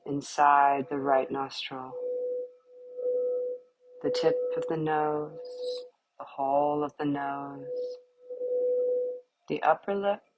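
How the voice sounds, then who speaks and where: A woman guiding a yoga nidra relaxation in a calm, soothing manner, with short, spaced phrases. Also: low-pitched, slow, pauses often, background noise. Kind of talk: guided relaxation meditation.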